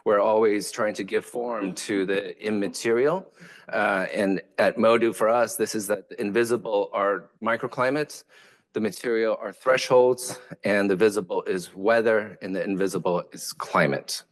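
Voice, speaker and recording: A man's voice: lowish voice, steady tone, normal speed. A man whose first language is Vietnamese, an architect giving a lecture in a hesitant way, with many fillers and restarts. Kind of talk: academic lecture.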